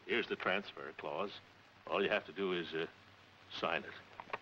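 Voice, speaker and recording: An actor playing a pompous, scheming middle-aged neighbor in a 1940s comedy, stammering and unctuous. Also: male voice, sits low, a little slow. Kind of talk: 1940s radio-style film comedy acting. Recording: muffled, hissy 1940s film soundtrack.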